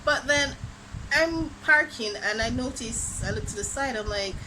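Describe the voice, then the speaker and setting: Animated young Jamaican woman telling a story. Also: fairly high pitch, unhurried. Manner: animated, dramatic storytelling with exclamations. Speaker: vlogger. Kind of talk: storytime vlog. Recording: noisy background ambience.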